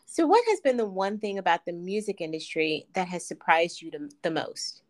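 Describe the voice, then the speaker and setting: A woman's voice: on the low side, expressive, normal speed. Upbeat woman co-hosting an interview. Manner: upbeat and affirming. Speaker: co-host. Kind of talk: casual interview chat.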